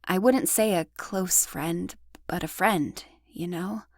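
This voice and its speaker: Woman playing a slow, guarded, troubled witness. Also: lowish voice, no echo, studio quality. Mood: troubled, recalling. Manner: hesitant, halting.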